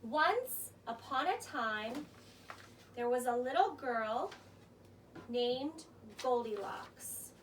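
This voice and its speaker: A woman narrating a children's story as an expressive, theatrical storyteller. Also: a little high, very slow, pauses often. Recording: distant mic with faint noise.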